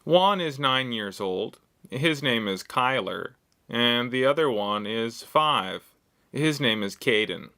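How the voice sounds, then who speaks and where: A man's voice: medium pitch, lively intonation, slow, sharp tone. A man in his thirties from Canada's West Coast, talking about himself in simple sentences for English learners, with frequent "uh" fillers. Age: 30s. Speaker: English teacher. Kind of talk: slow, clear lesson monologue for learners.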